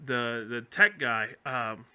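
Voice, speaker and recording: A man's voice: medium pitch, expressive, slow, bright, forceful tone, clear, resonant tone. A man hosting a podcast in casual chat, rambling and opinionated in slangy language. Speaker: podcast host.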